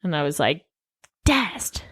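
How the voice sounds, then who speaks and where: A woman's voice: low voice, big pitch swings, takes it slowly, fairly bright tone, slightly rough, no room sound, clean studio sound. A woman chatting casually and comically as a podcast co-host, playfully dramatic and mock-indignant. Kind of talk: comedic casual podcast chat.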